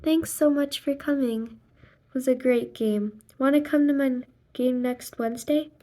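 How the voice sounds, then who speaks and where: Slow-paced woman reading a children's book aloud. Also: high voice, dark tone. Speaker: read-aloud narrator. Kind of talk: expressive read-aloud of a children's graphic novel, voicing dialogue and sound effects.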